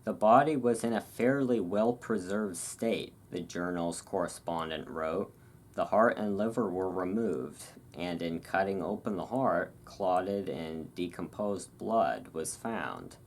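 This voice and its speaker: A host giving a relaxing ASMR reading of a historical article, with relaxed, informal delivery. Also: low-pitched, takes it slowly.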